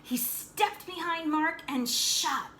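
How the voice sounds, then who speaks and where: Woman, bright voice, unhurried, animated storybook read-aloud, teacherly asides, slight background noise. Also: very high-pitched. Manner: animated, dramatic delivery that explains things to young listeners. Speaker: teacher reading a picture book aloud to children.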